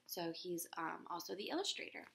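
A woman in her thirties reading a picture book aloud to children in a gentle, expressive teacher voice, recorded on a laptop webcam in a living room. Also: a little fast, creaky, slight background noise. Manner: gentle, expressive teacher voice, raises pitch for quoted characters. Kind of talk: children's read-aloud with questions to viewers. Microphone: laptop webcam at close range.

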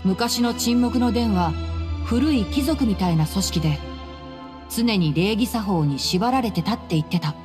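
A woman voicing a calm, clever young male scholar in an anime game, composed and articulate as he explains things. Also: mid-range voice, measured pace, big-room sound, over music, natural voice. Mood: calm, informative.

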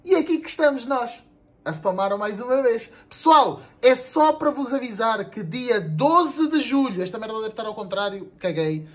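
A young man with a European Portuguese accent making a casual, slangy vlog announcement to camera, blunt and animated, repeating himself for emphasis. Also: very high voice, moderate pace. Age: young adult. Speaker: video creator and musician. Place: small room indoors at home.

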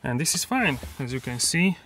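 A man's voice: a little high, rough recording. Man talking casually inside a car. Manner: relaxed, halting, many fillers and restarts. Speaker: car reviewer. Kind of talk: casual walkaround car review. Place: inside a parked car, then outdoors at a dealership lot. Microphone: handheld phone camera.

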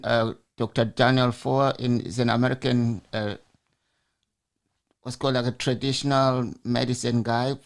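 A man hosting a podcast over a video call, asking long, rambling questions with frequent restarts. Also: mid-range voice. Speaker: podcast host. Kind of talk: casual interview. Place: home room, video call. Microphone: large studio mic on arm.

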